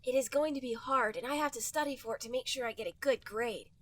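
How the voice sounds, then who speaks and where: A woman's voice: a little high, fast talker, a bit bright, no room sound. A woman voicing an earnest, clever young cartoon fox schoolboy in a comedic fan fiction reading.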